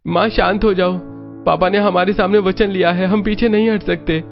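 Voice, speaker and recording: Dramatic young son acted by a man, raised pitch, exclaiming, family story, music behind. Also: fairly even intonation, normal speed, gravelly, muffled recording. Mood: pleading, earnest. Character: excitable young son, indignant yet obedient.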